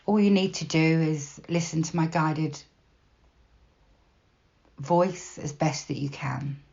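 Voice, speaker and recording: Female meditation guide, low register, soft and unhurried delivery, plenty of pauses, slightly dull recording. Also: creaky. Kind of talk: guided body scan meditation, gentle instruction.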